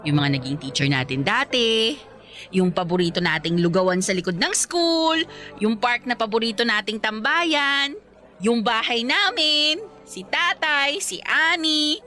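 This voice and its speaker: A woman's voice: fairly high pitch, expressive, unhurried, sharp tone. Andrea, playful guarded young woman. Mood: wistful, guarded. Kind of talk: radio romance drama acting. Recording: background music and crowd chatter.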